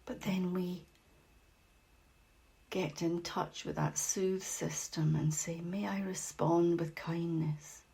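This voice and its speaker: A woman leading a guided meditation as facilitator, speaking gently and without hurry, with long pauses and a soft voice that turns breathy at phrase ends. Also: fairly low pitch, steady tone.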